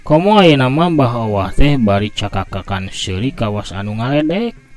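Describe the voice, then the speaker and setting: A man from West Java telling a martial arts story slowly, with vivid sound effects. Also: mid-range voice, animated. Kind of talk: scripted storybook narration.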